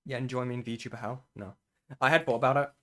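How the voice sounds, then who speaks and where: Streamer, male, hoarse, casual and profane. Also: mid-range voice, steady tone, fast talker, fairly bright tone. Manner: loose, sweary, trailing off. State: voice going hoarse.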